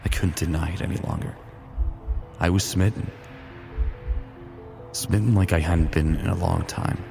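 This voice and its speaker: A man's voice: deep voice, steady tone, normal speed, gravelly, over music. A man playing a smitten, teasing sci-fi warrior who narrates his own exploits in a fan audio drama, casual and wry. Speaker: narrator and lead character.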